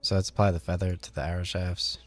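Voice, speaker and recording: A man's voice: deep voice, flat delivery, fast talker, soft background music. A man hosting a gaming video, giving casual gameplay commentary and thinking aloud in a rambling way.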